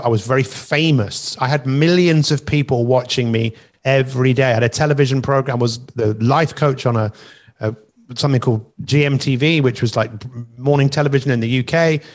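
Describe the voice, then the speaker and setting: A man's voice: mid-range voice, fairly quick. A middle-aged man with a mid-range voice hosts a motivational session on an online call. He talks conversationally as a coach, landing points with emphasis and wandering into asides. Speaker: life coach and masterclass host.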